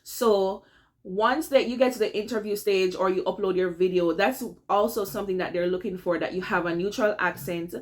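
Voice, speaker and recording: A young woman with a Jamaican accent talks straight to her viewers in a relaxed advice vlog. She keeps it chatty and personal, often checking in with them as she goes. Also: medium pitch, moderate pace. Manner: chatty, addresses viewers as "guys", repeats "all right?". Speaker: vlogger giving career tips. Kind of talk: casual advice vlog to camera.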